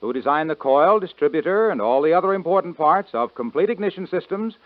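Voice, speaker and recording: Peppy ad guy, sing-songy sales pitch, thin dry old radio sound. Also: expressive, no room sound. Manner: brisk, upbeat sales pitch. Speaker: commercial announcer. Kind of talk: scripted radio advertisement. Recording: tinny, dry 1950s radio broadcast.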